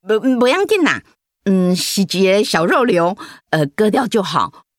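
Flustered mother with swooping pitch. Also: very animated, a little slow, no echo, clean studio sound. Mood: evasive reassurance. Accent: Taiwanese Mandarin. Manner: hesitant, fretful. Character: protective, flustered middle-aged mother hiding bad news. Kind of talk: radio drama acting.

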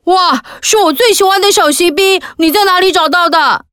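A woman doing a squealing excited little boy. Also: very high voice, normal speed, no room sound, clean studio sound. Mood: overjoyed. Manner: eager, squealing with delight. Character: excited little boy who owns the toys.

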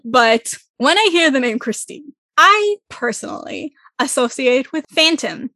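A woman's voice: sits high, a little slow, no room sound. A young woman from Israel chatting animatedly about a musical, laughing often. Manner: animated, laughs often, self-described loud. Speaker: co-host discussing musicals. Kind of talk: casual podcast chat.

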